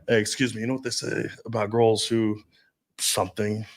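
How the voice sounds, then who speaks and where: A man talking casually as a dating coach, brash and profane, telling stories with animated asides. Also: on the low side, normal speed. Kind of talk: casual podcast chat.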